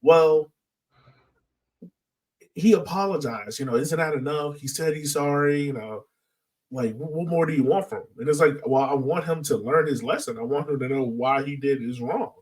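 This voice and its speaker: A man's voice: on the high side, moderate pace, far from the mic, poor audio. A man hosting a podcast in a casual, informal discussion style. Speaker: podcast host.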